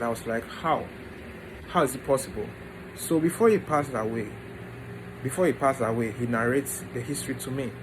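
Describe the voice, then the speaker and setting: A man talks informally about himself in a medium-pitched voice. He moves in short, halting phrases with pauses. The recording has clearly audible background noise, like a steady room hum. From Ghana and the United States. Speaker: vlogger introducing his book. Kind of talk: informal personal storytelling to camera.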